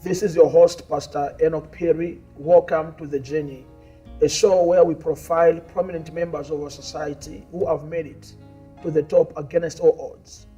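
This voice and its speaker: A man with a South African accent, a pastor hosting a studio interview show, warmly welcoming his guest and murmuring agreement as he listens. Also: a little high, fairly even intonation, normal speed, breathy edge, soft background music. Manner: warm greeting, frequent murmured agreement. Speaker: talk show host, pastor.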